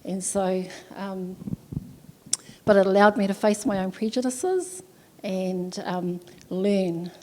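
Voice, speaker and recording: A New woman speaks as a panelist, proud and reflective, in short bursts. Her voice is mellow and sits in the middle of the range. A long reverb trails it through the hall. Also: medium pitch, slow, slight background noise. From New Zealand. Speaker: panelist, former primary teacher. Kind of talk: panel talk, opening with a formal greeting in te reo Māori. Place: conference hall, seated on a sofa.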